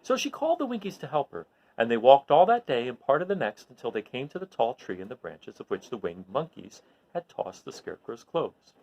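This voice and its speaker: A man reading a children's story aloud expressively, in an animated way that addresses his listeners. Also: mid-range voice, a little fast, rough recording. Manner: animated, recapping with questions to listeners.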